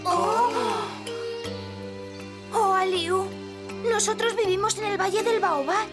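A woman's voice: very high voice, takes it slowly, over music. A woman voicing a sweet, earnest little girl in a cartoon, childlike and exclaiming. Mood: worried. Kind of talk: animated cartoon dub.

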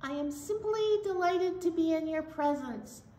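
Woman voicing a high, polite zebra puppet, distant and echoey. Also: expressive, moderate pace, warm tone. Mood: delighted, polite. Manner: formal, flowery wording. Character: polite, delighted zebra puppet with big words. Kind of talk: children's storytime puppet voice. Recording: echoey room, distant microphone.

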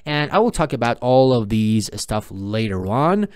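A man, a native Persian speaker with a Persian accent, presenting a step-by-step software tutorial in an informal, explanatory and repetitive manner. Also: medium pitch, big pitch swings, measured pace, clean tone, no echo, studio quality. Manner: explanatory, repetitive, posing viewers' questions and answering them. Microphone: close mic, screen-recording voice-over.

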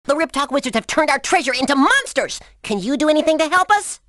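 A woman's voice: high-pitched, fast talker, no echo, clean studio sound. A woman playing an eager, chatty, slightly boastful helper creature in a video game. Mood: urgent. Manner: lively, chatty. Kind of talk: scripted video game character dialogue.

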